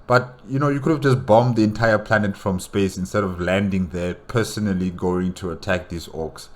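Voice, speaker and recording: A man giving casual, unscripted commentary as a reaction host, with halting and repetitive phrasing. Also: low-pitched.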